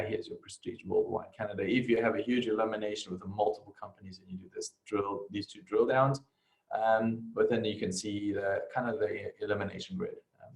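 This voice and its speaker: Guy with a lowish gravelly voice walking thru software on screen, kinda halting, keeps checking in with little tag questions. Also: rough recording. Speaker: software instructor. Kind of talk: informal screen-share software tutorial.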